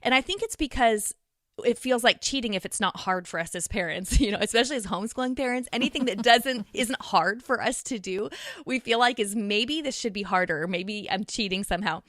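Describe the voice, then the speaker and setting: A woman speaking conversationally as a podcast guest, explaining things in an animated way. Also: a little high, brisk, no room sound, studio quality. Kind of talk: conversational interview.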